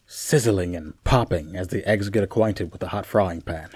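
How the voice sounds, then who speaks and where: Actor as a low-voiced man telling eerie tales. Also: darkish tone, husky, dry recording. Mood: wry, relaxed. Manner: theatrical, conversational asides with dramatic pauses. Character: chatty, bewildered man telling his own eerie tale. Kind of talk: first-person scripted story narration, radio play.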